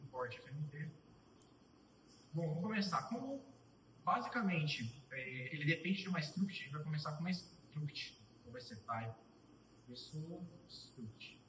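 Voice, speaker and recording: A young man giving a casual live coding tutorial, thinking aloud as he types, with pauses and restarts. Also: fairly high pitch, animated, fairly bright tone, recorded from a distance, slight background noise. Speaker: student presenter. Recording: roomy echo, voice off-mic.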